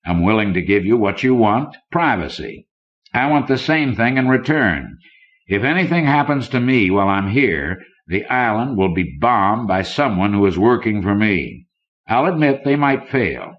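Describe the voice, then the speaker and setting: A man voicing a smug, ruthless banker villain in an audiobook, oily and smoothly menacing. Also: on the low side, lively intonation, moderate pace, voice pushed up, natural voice. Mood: smug menace. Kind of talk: audiobook character dialogue. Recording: dull lo-fi old cassette recording.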